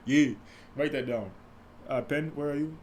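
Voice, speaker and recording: A young man with a deep, mellow voice hosting a casual solo podcast, telling a story with many restarts and asides. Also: measured pace, a little hiss or hum. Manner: rambling, many restarts and asides, speaks softly. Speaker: podcast host.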